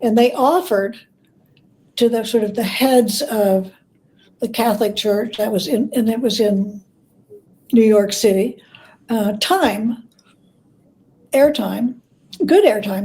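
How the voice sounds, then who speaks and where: A woman speaking as a guest expert, explaining history conversationally and often pausing to search for words. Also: fairly high pitch, mellow, poor audio. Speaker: guest expert, biographer and scholar.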